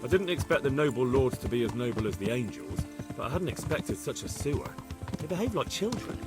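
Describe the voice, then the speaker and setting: An actor playing an earnest, plain-spoken young squire of humble birth in medieval video game dialogue. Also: male voice, medium pitch, big pitch swings, a little fast, music in the background, close to an everyday voice. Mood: earnest, musing. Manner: earnest, questioning, indignant at wrongdoing.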